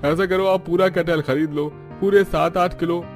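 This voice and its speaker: A man plays a street vegetable seller in a cartoon story. His voice is raised in pitch and pushily persuasive, as he works a sale over faint music. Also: fairly even intonation, moderate pace. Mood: persuasive bargaining. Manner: persuasive, salesman-like.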